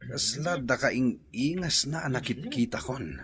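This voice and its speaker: A man with a Filipino accent playing an excitable, smitten man in a radio drama. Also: mid-range voice, a little slow. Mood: smitten, teasing. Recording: music under voice.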